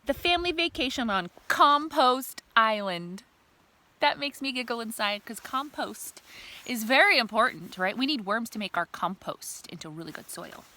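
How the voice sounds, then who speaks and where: Lively, high-voiced woman reading to children. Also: moderate pace. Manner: expressive, asks the listeners questions, explains simply. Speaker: teacher reading a picture book to children. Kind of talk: read-aloud storytime with asides to young listeners.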